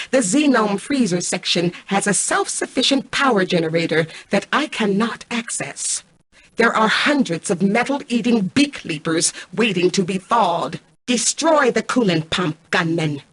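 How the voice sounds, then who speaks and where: A woman voicing a cold, arrogant mainframe intelligence in a video game, speaking in a formal, measured, commanding way. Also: medium pitch, expressive, husky. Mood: cool, commanding. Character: cold, arrogant female mainframe artificial intelligence, calculating and imperious. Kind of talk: scripted video game voice acting.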